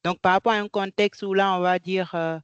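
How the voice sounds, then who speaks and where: A woman's voice: mid-range voice, fairly even intonation, fairly quick, smooth, no room sound, muffled recording. A woman from Guinea asking a question in an online conference over a video call, hesitant with many fillers and restarts. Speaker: audience member asking a question.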